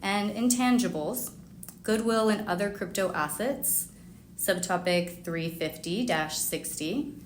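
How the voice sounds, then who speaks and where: A woman's voice: mid-range voice, slow. Woman podcast host in an echoey room. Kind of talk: scripted podcast introduction and interview questions. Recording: roomy echo, faint background noise.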